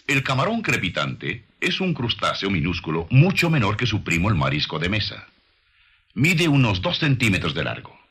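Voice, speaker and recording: Male documentary narrator, lowish register, animated melodic phrasing, explanatory scripted read, noisy recording. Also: normal speed. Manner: didactic, rhetorical questions to the listener.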